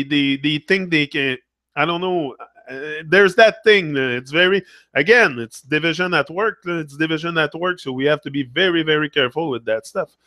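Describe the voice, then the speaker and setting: A man's voice: fairly high pitch, bright, forceful tone, dry recording. A man with a Québécois French accent, a native French speaker hosting a casual livestream, chatting with viewers and switching back and forth between English and French. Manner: switches between English and French mid-thought, tags phrases with "là". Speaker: livestream host. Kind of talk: casual live-stream chat reading comments.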